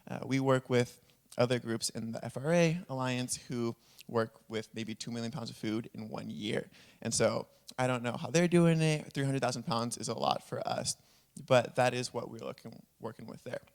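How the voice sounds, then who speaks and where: Man with a creaky voice, presenting earnestly. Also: medium pitch, normal speed. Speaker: food rescue nonprofit speaker, panelist. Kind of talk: conference presentation.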